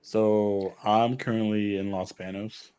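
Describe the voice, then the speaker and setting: A man from California's Central Valley co-hosting a casual podcast, jokey and quick to react. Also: fairly low pitch, little pitch movement, dark tone, clear, resonant tone. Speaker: podcast co-host.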